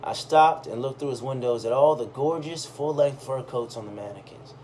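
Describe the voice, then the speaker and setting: A man tells a personal story from a written text in a medium-pitched voice. His delivery is conversational and expressive, leaning on pauses and emphasis. There is faint noise behind him. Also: low-quality recording. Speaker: reader of a personal essay. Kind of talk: expressive first-person storytelling, read aloud.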